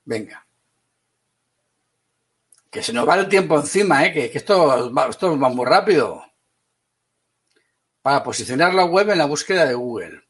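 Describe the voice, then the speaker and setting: A man from Spain with a Spanish accent teaching an online class, brisk and teasing, calling on students by name. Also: on the high side, sing-song, a bit bright, breathy edge.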